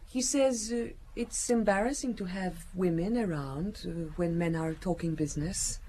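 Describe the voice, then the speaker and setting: An actress playing a secretive, languid young woman in an audio drama, coquettish and timid, with hesitant pauses. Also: lowish voice, mellow, slight background noise, lightly acted. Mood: coy, teasing. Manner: hesitant, breathy pauses, coy.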